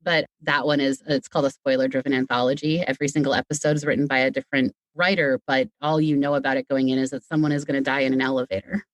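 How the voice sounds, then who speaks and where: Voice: mid-range voice, level tone, fast talker, hardly any pauses, no echo, poor audio. Chatty podcast guest with a dry, close sound. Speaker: podcast guest, writer and director. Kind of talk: casual conversational interview.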